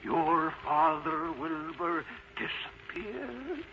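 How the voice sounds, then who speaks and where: A man voicing a kindly, chuckling elderly ghost schoolteacher in a drawn-out theatrical way for a children's story. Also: fairly high pitch, sing-song, voice pushed up, heavily acted. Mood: fond, amused. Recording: muffled lo-fi audio with clear noise.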